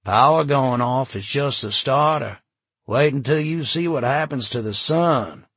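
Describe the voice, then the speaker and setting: Audiobook role: aging hippie doomsayer, played by a male narrator, sardonic and easygoing, clean smooth close sound. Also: mid-range voice, measured pace, fairly bright tone, dry recording, pitched above the performer's own voice. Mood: loud, proclaiming. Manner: drawling slang, smiling, sardonic. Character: laid-back aging hippie man, sardonic, cheerful doom prophet.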